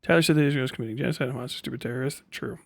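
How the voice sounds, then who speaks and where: A man's voice: medium pitch, very fast, vocal fry, dry recording. A man chatting casually as a live streamer, rambling and sardonic, reading things aloud and swearing offhandedly.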